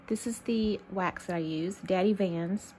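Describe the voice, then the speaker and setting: A woman's voice: medium pitch, measured pace, mellow, slight background noise. A woman from Texas hosting a casual crafting tutorial, chatty and thinking aloud as she works. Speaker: craft channel host.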